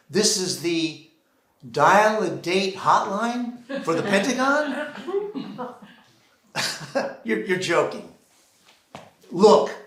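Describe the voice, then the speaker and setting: An American man performing a theatrical solo monologue, deliberately acting out one side of a phone call on a theater stage. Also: high voice, a bit bright. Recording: roomy, echoing live theater sound.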